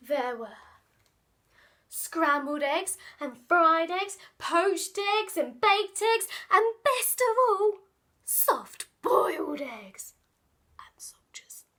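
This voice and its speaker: A woman reading a children's picture book aloud, dramatic and playful, often dropping into hushed asides. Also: extremely high pitch, lively intonation, takes it slowly, pauses often. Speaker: children's storyteller.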